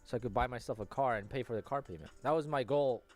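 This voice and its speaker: A man in casual podcast chat, talking in a quick, offhand way. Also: medium pitch.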